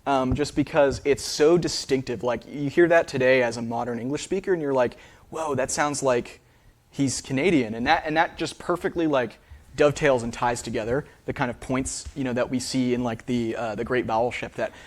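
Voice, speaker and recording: Man, linguist, casual audience Q&A, lots of fillers, mid pitch. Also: brisk. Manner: casual, many fillers and restarts. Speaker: speaker, linguist. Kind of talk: lecture Q&A answers. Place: theater.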